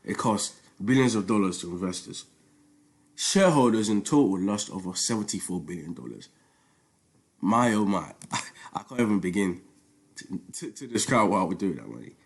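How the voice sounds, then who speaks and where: A man's voice: on the low side, expressive, moderate pace. Young male, Nigerian accent, informal student presenter, conversational and slangy, leans on repetition for emphasis. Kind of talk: informal spoken project presentation.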